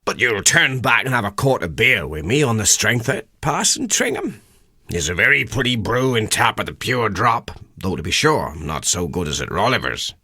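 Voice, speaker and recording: Lilting, naive West Country rustic. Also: male voice, fairly low pitch, big pitch swings, normal speed, sharp tone, breathy edge, dry recording, clean studio sound, pitched above the performer's own voice, exaggerated voice. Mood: eager, wheedling. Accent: English West Country. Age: middle-aged. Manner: rustic dialect, wondering and wheedling. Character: middle-aged rustic tippling haggler, naive and self-important.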